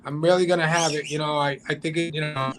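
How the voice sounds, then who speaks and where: A man's voice: a little high, fairly even intonation, quick pace, music in the background, rough recording. A man talking as a guest on a live drone show, rambling with many restarts and fillers. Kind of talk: casual chat on a live show. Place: home, cold region.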